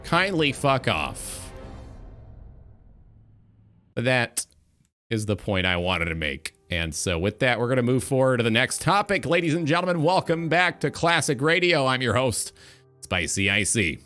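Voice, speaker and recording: A man's voice: mid-range voice, very animated, unhurried, bright, forceful tone, soft background music. A man hosting a gaming talk show, delivering an opinionated monologue in an emphatic, blunt, sweary way.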